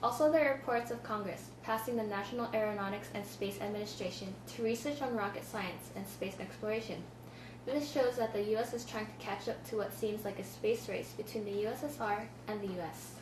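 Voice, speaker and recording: A woman's voice: medium pitch, fairly quick. A young woman reading a scripted amateur newscast as an anchor. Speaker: student news anchor. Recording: echoey room, distant mic, clear noise.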